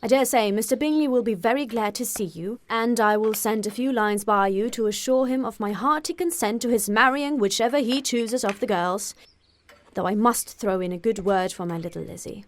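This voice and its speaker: A woman's voice: on the high side, moderate pace, close to an everyday voice. A woman voicing a sarcastic, teasing middle-aged husband with a British accent in an audiobook, wry and reserved. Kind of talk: audiobook character acting.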